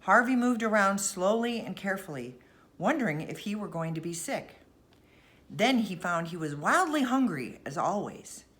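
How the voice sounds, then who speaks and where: A woman reading a bedtime story aloud as narrator, expressive and deliberate. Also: mid-range voice.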